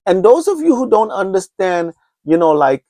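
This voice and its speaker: A man's voice: high voice, sing-song, normal speed, dark tone, no room sound, clean studio sound. A man, speaking unscripted on his own podcast in an earnest, rambling way full of restarts and urgent appeals. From Trinidad and Tobago. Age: 50s. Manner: earnest, rambling, many restarts, preacher-like urging. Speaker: podcast host. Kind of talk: unscripted solo podcast talk.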